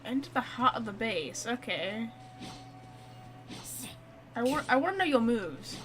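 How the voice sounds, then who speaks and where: A woman doing casual, reactive gameplay commentary as a streamer, blunt and excitable. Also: fairly high pitch, measured pace, over music. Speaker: game streamer.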